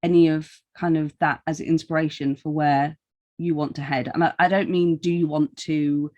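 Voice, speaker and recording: A woman's voice: low-pitched, steady tone, moderate pace, a little mellow, muffled recording. A woman interviewing casually on a podcast, feeling her way through wordy questions.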